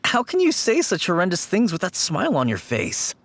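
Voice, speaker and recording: A man's voice: high voice, huge pitch swings, fairly quick, fairly bright tone, no echo, exaggerated voice. A man playing an earnest, wistful young working man in a video game, sincere and hesitant. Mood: grateful, unnerved. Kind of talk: video game character dialogue.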